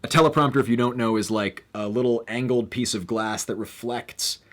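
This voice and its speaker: A young man hosting a casual live stream, rambling with lots of fillers and bursts of excitement. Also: mid-range voice, fairly quick.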